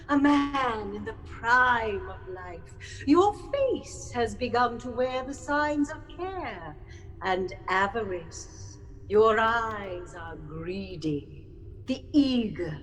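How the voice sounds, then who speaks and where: A woman playing a solemn ghostly spirit in an audio drama, stern, measured and portentous. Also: fairly high pitch. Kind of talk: theatrical audio drama acting. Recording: long cavernous reverb, faint noise.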